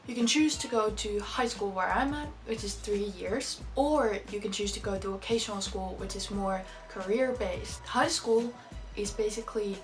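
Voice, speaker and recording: A woman's voice: medium pitch, moderate pace, faint music behind. A woman in her late teens with a Finnish accent, a native Finnish speaker, talking casually to camera as a vlogger and explaining things. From Finland. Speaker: vlogger, exchange student.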